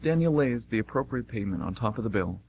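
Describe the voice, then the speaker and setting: A man's voice: fairly low pitch, lively intonation, quick pace, gravelly, lo-fi sound, a little hiss or hum. A man reading scripted narration for an amateur radio play.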